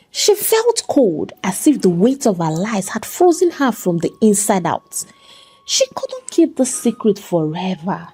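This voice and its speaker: A woman's voice: medium pitch, darkish tone, slightly rough. A woman narrating a scripted dramatic tale as a storyteller.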